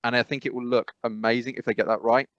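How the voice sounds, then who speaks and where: A man's voice: medium pitch, flat delivery, fast talker, fairly bright tone, dry recording, lo-fi sound. A man co-hosting a podcast in casual chat, rambling and often correcting himself mid-sentence. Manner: rambling, self-correcting, frequent "I must be honest". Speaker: podcast co-host.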